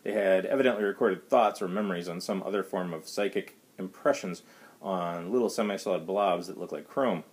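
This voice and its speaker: A man in his fifties with a low-pitched voice reads fiction aloud. He narrates as the author of the story, indoors in a small study. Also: fairly quick. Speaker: author reading own story. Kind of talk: reading a science fiction story aloud, voicing dialogue.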